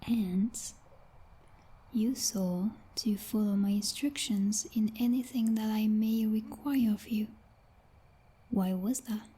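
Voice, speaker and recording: A very breathy, whispering female vampire knight, played by a woman in an ASMR roleplay. She is possessive and coldly domineering, speaking slowly with formal, teasing condescension. Her tone is mellow and dark, with a quiet menace. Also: mid-range voice. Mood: menacing, possessive.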